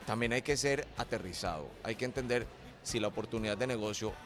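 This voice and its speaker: A man's voice: lowish voice, brisk, music in the background. A middle-aged man from Colombia with a Colombian accent, a guest in a radio interview, explaining his ideas with sayings and metaphors. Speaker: entrepreneur guest.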